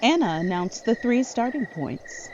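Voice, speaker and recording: A woman's voice: a little high, big pitch swings, measured pace. A woman narrating a horror audio drama from a script. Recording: slightly dull audio, faint noise. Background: night crickets and frogs.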